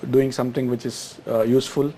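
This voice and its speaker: A man's voice: mid-range voice, level tone, brisk, warm tone, a little hiss or hum. A middle-aged man from Gujarat, answering interview questions with many fillers and restarts. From Gujarat, India. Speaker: guest, exchange chief executive.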